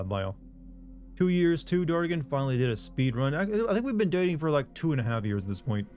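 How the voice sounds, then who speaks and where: A man's voice: medium pitch, darkish tone. A man streaming a game, chatting casually and reading out viewer messages, rambling and making self-deprecating jokes.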